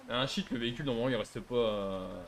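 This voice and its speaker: A man with a mid-pitched voice talks very quickly in a roleplay gaming chat. He keeps needling and arguing back in casual speech. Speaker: online roleplay gamer. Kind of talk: casual banter in online roleplay game.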